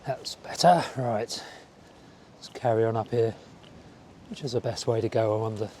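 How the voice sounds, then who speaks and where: Mellow, slightly croaky man vlogging outdoors. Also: on the low side, normal speed, a little hiss or hum. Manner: rambling, musing aloud to the viewer. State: slightly croaky from a fading cold. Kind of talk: casual outdoor walking vlog chat. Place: outdoors, walking by a village road and seafront. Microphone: small wireless clip-on mic.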